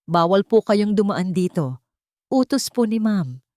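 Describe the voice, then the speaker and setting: A woman's voice: medium pitch, lively intonation, measured pace, darkish tone, no room sound, clean studio sound, close to an everyday voice. A woman voicing a hard, threatening male guard who speaks with mock politeness in an audio story. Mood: menacing. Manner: threatening behind polite words. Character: hard-faced, menacing male household guard.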